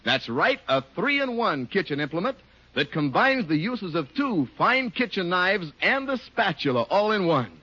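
A man's voice: high-pitched, sing-song, unhurried, bright, forceful tone. An American man announcing in 1950s radio advertising style, with emphatic, polished salesmanship. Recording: muffled vintage radio recording.

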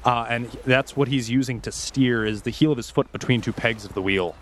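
Man, game master, descriptive narration, unhurried, some fillers. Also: medium pitch, slight background noise.

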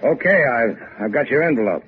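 An actor playing a wry, easygoing tough adventurer hero in a 1950s radio drama. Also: male voice, mid-range voice, animated, fairly quick, faint music behind, natural voice. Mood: puzzled, brisk. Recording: muffled 1950s radio recording.

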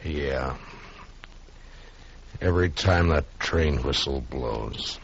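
An actor playing a stern, weary frontier marshal in a 1950s radio western. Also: male voice, rumbling low, very slow, vocal fry, heavily acted. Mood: somber. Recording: muffled, faintly noisy 1950s radio recording.

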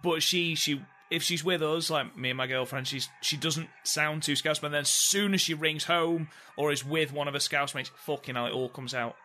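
A man's voice: on the high side, fairly even intonation, moderate pace, hardly any pauses, pushed and bright, a little hiss or hum. A young man co-hosting a podcast, chatting and joking while watching a match. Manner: teasing, jokey. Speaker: podcast co-host. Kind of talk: casual watchalong chat.

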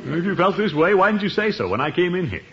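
An actor playing a pompous, hearty middle-aged water commissioner in a 1950s radio comedy, blustering and chuckling. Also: male voice, high voice, sing-song, fast. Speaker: lead of a radio sitcom. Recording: muffled old radio broadcast with hiss.

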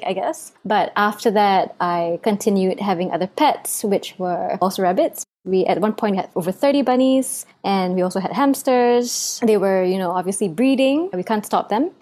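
A woman's voice: medium pitch, darkish tone. A young adult woman from Singapore, a podcast guest telling her story in a chatty way with frequent laughs. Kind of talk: casual storytelling interview.

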